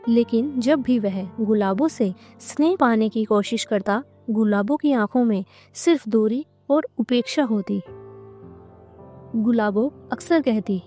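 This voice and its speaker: A woman narrating a scripted moral story in a measured, dramatic storytelling manner. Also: a little high, warm tone, faint music behind.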